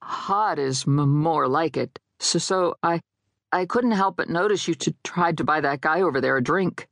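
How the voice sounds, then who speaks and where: A woman's voice: sits low, moderate pace, no echo, studio quality. Woman acting out a shy stammering male ghost. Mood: awkward, hesitant. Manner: hesitant stammer on first sounds.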